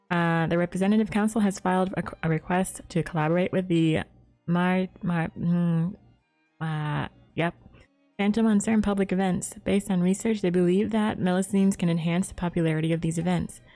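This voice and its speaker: A woman's voice: on the low side, fairly even intonation, normal speed, dark tone, faint music behind. A woman game streamer casually reading game text aloud, stumbling over names as she goes.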